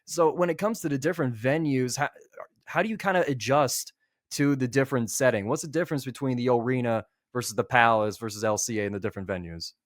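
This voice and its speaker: A man's voice: medium pitch, quick pace, no echo, clean studio sound. A man hosting a casual interview, building long, wordy questions and rephrasing them as he goes. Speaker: interviewer, podcast host.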